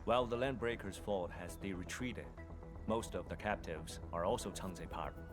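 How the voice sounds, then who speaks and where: An actor playing a stoic, terse military operative in a video game, speaking formally and bluntly. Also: male voice, sits low, normal speed, music in the background, natural voice. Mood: formal, matter-of-fact.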